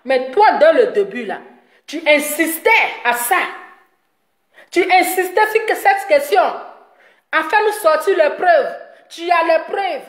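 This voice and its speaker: A woman's voice: extremely high pitch, lively intonation, measured pace, roomy sound. A woman giving a ranting commentary, mocking and scolding and laughing often as she talks. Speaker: online commentator.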